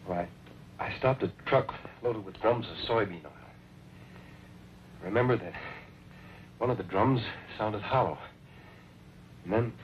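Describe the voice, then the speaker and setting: A man acting as a dazed, weary injured lawman in an old Western drama, speaking haltingly but earnestly. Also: on the low side, natural voice. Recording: dull, noisy old radio recording.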